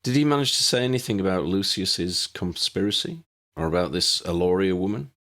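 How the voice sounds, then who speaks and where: A man's voice: low-pitched, lively intonation, brisk, fairly bright tone. A man voicing an earnest young wizard hero in an audiobook story, direct, alert and concerned. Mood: anxious.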